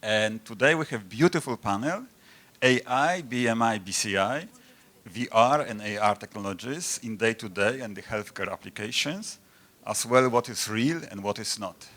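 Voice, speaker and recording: A man's voice: lowish voice, fairly bright tone. Man moderating an academic panel. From Poland. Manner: halting, informal phrasing. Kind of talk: introducing a panel discussion.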